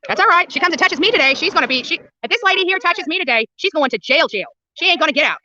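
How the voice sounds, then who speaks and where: A woman's voice: very high-pitched, expressive, rapid-fire, bright, forceful tone, lo-fi sound, a little hiss or hum. A woman livestreaming angry commentary in a blunt, indignant way, cursing and repeating phrases for emphasis. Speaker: livestreamer at a street protest. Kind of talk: angry live commentary and casual chat.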